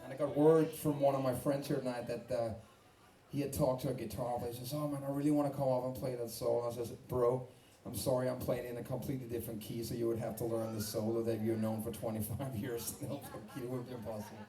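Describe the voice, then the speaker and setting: A man's voice: mid-range voice, level tone, fast, mellow. A man with a Danish accent, a rock singer rambling and swearing as he tells a story between songs at a live club show. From Denmark. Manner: rambling, swearing, self-mocking. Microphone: stage microphone through PA. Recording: roomy live club sound from far off.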